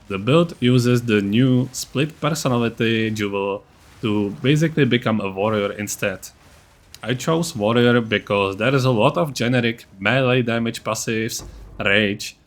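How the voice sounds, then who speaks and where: A man with a fairly low voice narrates a video game guide. He explains things methodically, point by point, in a scripted tutorial style. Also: a bit bright.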